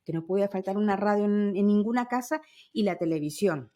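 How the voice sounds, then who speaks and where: A woman's voice: medium pitch, a little fast, dark tone, muffled recording. A woman in her fifties hosting a podcast, chatting casually and nostalgically in an enthusiastic storytelling way. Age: 50s. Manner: enthusiastic, storytelling with spoken sound imitations. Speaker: podcast host.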